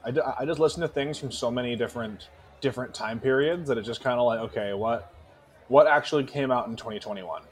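A man's voice: medium pitch, quick pace. Casual man swearing through music chat. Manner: profane, hesitant, restarts phrases emphatically. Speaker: podcast co-host.

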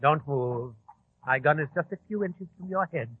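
An actor playing a menacing, taunting leader of a bomb plot, in a theatrical old-time radio style. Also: male voice, fairly high pitch, moderate pace, slight background noise, natural voice. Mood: gloating menace. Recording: muffled, tinny 1940s radio recording.

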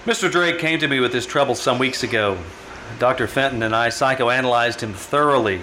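An actor playing a formal, authoritative psychiatrist giving testimony in an old-time radio drama. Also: male voice, mid-range voice, fairly quick, sharp tone, slight background noise, close to an everyday voice, low-quality recording. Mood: formal, assured.

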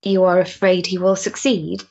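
A woman's voice: lowish voice, flat delivery, normal speed, gravelly, a little mellow, husky, muffled recording. An actress playing an earnest, impulsive, lonely Victorian woman in a period stage drama, with a hesitant, emotional manner. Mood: concerned, puzzled.

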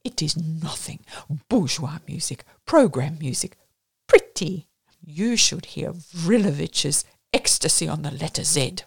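A woman's voice: low voice, expressive, a little slow, creaky, husky, heavily acted. A woman narrator voicing a fat, bald, pompous man who is scornful and opinionated, in an audiobook. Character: dismissive, pompous fat bald avant-garde music snob, male.

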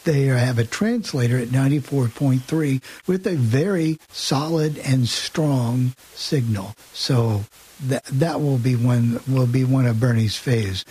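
Male host, husky rough-edged voice, average pitch, informal teasing radio chat. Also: measured pace, mellow. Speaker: talk show host.

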